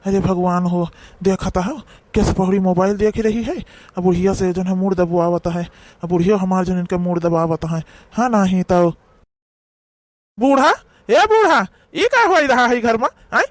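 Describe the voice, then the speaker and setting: A man plays a cranky old village father-in-law from a comedy cartoon. His voice is high for a man and closely recorded without echo. He has an Awadhi accent and scolds in an emphatic, rambling way. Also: normal speed, close to an everyday voice. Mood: outraged.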